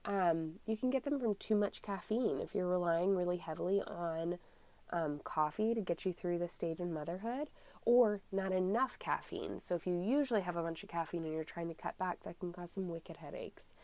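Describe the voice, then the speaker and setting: Young female podcast host with a cold: congested, a bit scratchy, lower voice, chatty with ums, dry close recording. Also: moderate pace, mellow, no echo. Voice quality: slight scratchiness. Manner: chatty, many ums, friendly explaining. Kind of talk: casual solo podcast talk.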